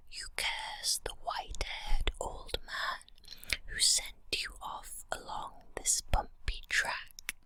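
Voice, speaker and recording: A woman whispering a scripted ASMR guided story in a breathy, unvoiced voice, in short deliberate phrases with pauses. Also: pushed and bright. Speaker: ASMR narrator.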